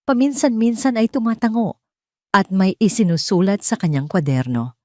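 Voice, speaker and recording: A woman's voice: medium pitch, moderate pace, dark tone, no room sound. A woman with a Filipino accent reading a scripted, dramatic love story as narrator.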